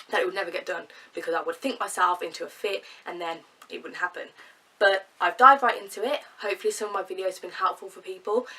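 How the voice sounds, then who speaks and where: A woman's voice: on the low side, bright, forceful tone, distant mic, low-quality recording. A young woman with a cold and a nasal voice, talking earnestly to camera in a chatty vlog. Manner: chatty, earnest, with fillers and laughs. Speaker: vlogger. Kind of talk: casual talk to camera.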